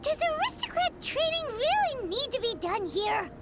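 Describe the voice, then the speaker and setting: A woman voicing a tiny, childlike, excitable female companion in a video game who refers to herself in the third person. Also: moderate pace, heavy background noise, exaggerated voice. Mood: chirpy. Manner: talks about herself in the third person, squeaky and bouncy. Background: game music.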